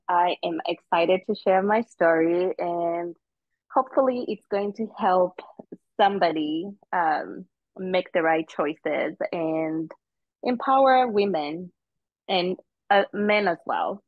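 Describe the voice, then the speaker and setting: A middle-aged woman from Zambia, a guest telling her personal story in an unhurried, earnest way with many ums. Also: fairly low pitch, no room sound, phone-quality sound. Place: outdoors on a footbridge.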